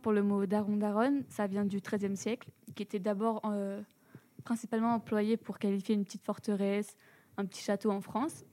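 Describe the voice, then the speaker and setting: A teenage girl, a student, giving steady information in a school podcast. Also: medium pitch. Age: teens.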